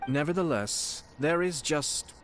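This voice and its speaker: A man's voice: fairly high pitch, lively intonation, fairly bright tone. Courteous, composed young nobleman, noisy background. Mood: reassuring. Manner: formal, polished, measured phrasing. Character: courteous, composed young nobleman, eloquent and gently probing clan lord. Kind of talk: scripted video game dialogue acting.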